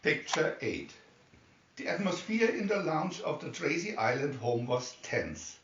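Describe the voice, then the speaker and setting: A man's voice: a little high, big pitch swings, unhurried, fairly bright tone. A man reading a scripted adventure story aloud as a dramatic narrator. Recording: muffled, echoey room, distant mic.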